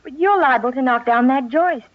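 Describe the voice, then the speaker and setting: An actress playing a sensible, worried wife, precise and gently nagging, in an old-time radio comedy. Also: female voice, high voice, normal speed, muffled recording, a little hiss or hum, lightly acted. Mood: worried, insistent. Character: sensible, worried wife, patiently doubting her husband.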